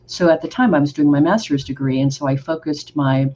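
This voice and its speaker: A woman's voice: deep voice, a little fast. Effusive woman hosting a podcast interview. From Oregon, United States. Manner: enthusiastic, effusive and warm in her questions. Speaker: podcast host. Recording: slightly dull recording with faint background noise.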